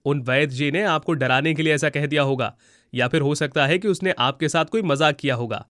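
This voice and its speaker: Man playing a dismissive, higher-voiced young suitor. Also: brisk, fairly bright tone, no echo, studio quality, lightly acted. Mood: incredulous. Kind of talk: Hindi moral story cartoon acting.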